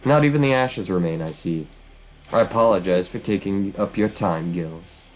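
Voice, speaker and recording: A man's voice: low-pitched, moderate pace, close to an everyday voice, low-quality recording. A man voicing a vengeful witch woman from hell, speaking in a theatrical, formal and taunting way. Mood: cold, commanding.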